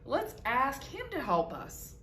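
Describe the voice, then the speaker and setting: A woman's voice: sits high, wildly swooping pitch, slow pace, pushed and bright, pitched above the performer's own voice, full character voice. A woman voicing a funny, hopeful little toy clown from a storybook, calling out with encouragement. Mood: eager, hopeful. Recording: roomy echo with background noise.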